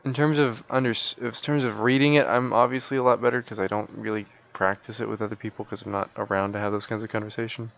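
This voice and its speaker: A man's voice: on the low side, little pitch movement, quick pace, creaky, hardly any pauses, lo-fi sound. A sleepy man chatting casually and in a rambling, folksy way as he live-streams a game.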